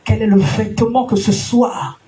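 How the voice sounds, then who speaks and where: High-voiced woman preaching with shouted peaks. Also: fairly even intonation, measured pace. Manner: emphatic sing-song preaching with shouted peaks; frequent alleluias and direct questions to listeners. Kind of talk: sermon. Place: church gathering.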